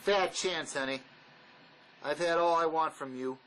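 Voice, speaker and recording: A man's voice: on the high side, a little slow, a bit bright, poor audio. A man acting a bitter, blunt fugitive drifter in a dramatic script reading, sarcastic and cutting. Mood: dismissive.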